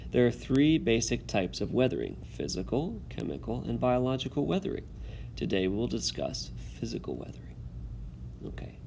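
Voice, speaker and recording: Male teacher, low and gravelly, guiding students through a read-aloud over faint background noise. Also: a little mellow. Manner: instructive, pausing to check understanding. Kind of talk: instructional video with read-aloud.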